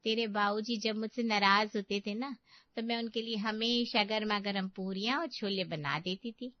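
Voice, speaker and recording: A woman voicing a reassuring, practical middle-aged mother in an animated family story. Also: mid-range voice, normal speed, no room sound, dull audio, natural voice.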